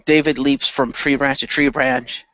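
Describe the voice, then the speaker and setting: A man tells improvised adventure action in the present tense. His pitch is average for a man. The recording is dry and somewhat dull, like a homemade radio play. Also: flat delivery, a little slow, pushed and bright, no echo. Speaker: narrator and player in amateur radio play.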